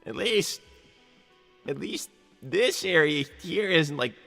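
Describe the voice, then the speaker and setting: A man voicing an excitable young male fox, an earnest, chatty inventor sidekick who stammers and rambles in live role-play. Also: fairly high pitch, slow, vocal fry, full character voice. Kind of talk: improvised in-character livestream role-play. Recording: long reverb, music behind. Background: music.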